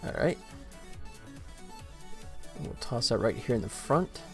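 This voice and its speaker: A man talks casually through his work on a game development stream. His pitch is average for a man, and he thinks aloud in an informal way. Faint music plays behind him. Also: animated, slow pace, darkish tone. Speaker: indie game developer, livestream host. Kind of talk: casual work-along commentary.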